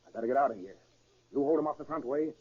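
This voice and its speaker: An actor playing a tough, wary gangster on the run in a 1930s radio drama, with terse, slangy talk. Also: male voice, mid-range voice, expressive, rapid-fire, darkish tone, slightly rough. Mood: wary, urgent. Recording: muffled, lo-fi 1930s radio recording.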